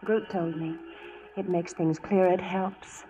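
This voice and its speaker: A woman's voice: on the low side, fairly even intonation, slow. An actress playing a bold, tender young frontier woman with an American accent, in 1940s radio style. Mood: earnest, tender. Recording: noisy, muffled 1940s radio broadcast.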